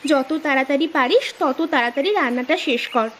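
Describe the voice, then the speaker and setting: A woman plays a food-loving, carefree cartoon sister in a high voice. She is bossy and brushes worries aside breezily. A little noise sits behind her. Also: fast, fairly bright tone. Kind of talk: scripted cartoon story dialogue.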